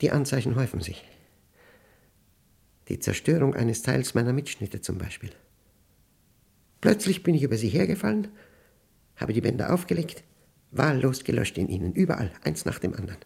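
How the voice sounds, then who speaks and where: A man acting an obsessive, unravelling professor who dictates his diary in a German radio play, with emphatic, deliberate diction and short clipped phrases. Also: medium pitch, sing-song, gravelly, darkish tone, husky, full character voice. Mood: anxious, tormented. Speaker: radio drama actor.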